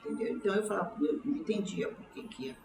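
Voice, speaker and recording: A woman in her sixties with a São Paulo Brazilian accent, recalling her life in an interview in a halting, reflective way, often repeating words and checking for agreement. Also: mid-range voice, steady tone, creaky, a little mellow, faint music behind. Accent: São Paulo Brazilian Portuguese. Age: 60s. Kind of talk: oral history interview, autobiographical recollection. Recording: roomy, distant mic, faint background noise.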